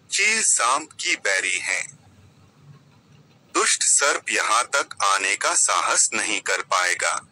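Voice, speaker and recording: A man's voice: on the low side, bright, forceful tone, full character voice, rough recording. A man voicing a reassuring, firm male crow husband in a children's moral story. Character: steady, reassuring male crow husband consoling his mate.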